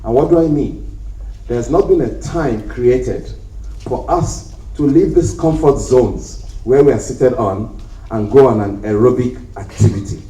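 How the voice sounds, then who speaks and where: A man's voice: mid-range voice, a little slow, dark tone. A man giving a conference talk in a hotel hall, telling jokey anecdotes and acting out the people in them. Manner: jokey storytelling, acting out quoted voices. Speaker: conference speaker. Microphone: handheld mic, later set down. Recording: echoey hall, speaker far from mic.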